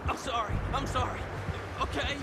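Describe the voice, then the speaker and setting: An actor playing a frightened young captive in a video game, begging in a whining, stammering panic. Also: male voice, extremely high pitch, steady tone, moderate pace. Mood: pleading, panicked. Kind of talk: video game cutscene acting. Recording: very noisy game audio.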